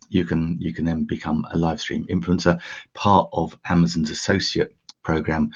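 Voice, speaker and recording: A man from the UK hosting a casual how-to live stream, his voice croaky this early, chatty with lots of fillers and restarts. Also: low voice, a little mellow, dull audio. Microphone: desk USB microphone.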